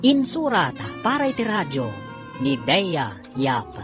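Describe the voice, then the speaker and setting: A woman's voice: lowish voice, big pitch swings, takes it slowly. A woman announcer reading scripted narration for a radio drama in a theatrical manner. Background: music.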